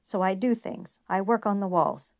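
A woman's voice: medium pitch, steady tone, moderate pace, a little mellow, no echo, muffled recording, close to an everyday voice. A woman voicing an agitated, frightened young male doctor who raises his voice. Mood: weary.

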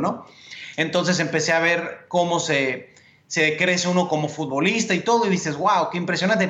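Mexican man, 40s, high voice in a roomy space, relaxed joking storyteller. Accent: Mexican Spanish. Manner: relaxed storytelling, joking, uses "compadre" and "brother". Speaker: TV sports and entertainment host, guest. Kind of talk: casual interview chat.

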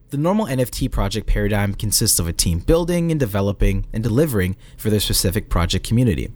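A man narrates a scripted article in a lively, swooping delivery. His emphasis is playful and theatrical, and the recording is dry, with very little room sound. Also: medium pitch, no room sound.

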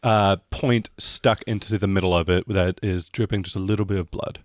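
A man narrating a tabletop role-playing game as game master, improvising and often pausing to search for words. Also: low-pitched, fairly even intonation, no room sound, studio quality.

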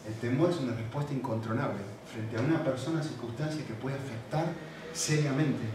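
A man with a Rioplatense Spanish accent preaching a sermon, animated and repetitive, often checking in with questions to the congregation. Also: a little high, a little hiss or hum. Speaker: pastor. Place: church. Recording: very echoey hall, mic at a distance.

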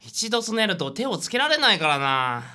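A woman's voice: mid-range voice, big pitch swings, moderate pace, bright, forceful tone. A woman playing a vain, flighty, spoiled young male sidekick in a dramatic radio drama style. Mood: panicky.

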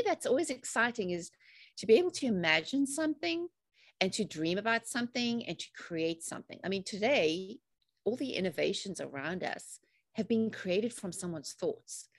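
A woman's voice: on the low side, no echo. A woman in her forties with a South African accent, answering interview questions in a chatty, upbeat way. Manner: chatty and upbeat, rising emphatic phrases. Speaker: podcast guest, mindset coach.

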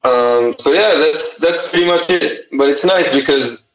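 A man's voice: a little high, a little slow, fairly bright tone, reverberant, poor audio. A young man with an Israeli accent, a native Hebrew speaker, answering interview questions about his art with many fillers and restarts. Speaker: artist and t-shirt designer.

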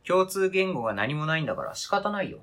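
A man's voice: on the high side, sing-song, quick pace, a bit bright. A man acting in a radio drama reading as a wounded, indignant adult who argues with cold, pedantic logic and interrogates relentlessly. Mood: accusing. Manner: pedantic, interrogating, formal argumentative phrasing.

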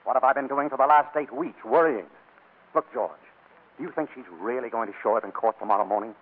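An actor playing a pleading, comically persistent husband, stammering and exasperated in 1940s radio comedy style, on a muffled old broadcast. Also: male voice, medium pitch, quick pace, close to an everyday voice. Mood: anxious, hurried.

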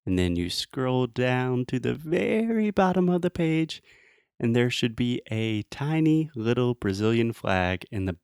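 A man's voice: mid-range voice, clear, resonant tone, no echo, studio quality. An American man hosting a casual English-learning podcast, talking straight to listeners in informal, emphatic, simply explained phrases. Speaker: podcast host.

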